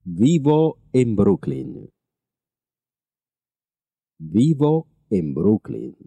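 A man teaching a language lesson, reading each phrase slowly and repeating it twice. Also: mid-range voice, very animated, creaky, warm tone, no echo. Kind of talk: slow repeated translation drills.

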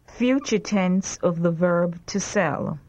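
A woman reading short prompt sentences slowly and clearly for a language drill. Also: lowish voice, a little mellow. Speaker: language lesson reader. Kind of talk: slow repeat-after-me language drill.

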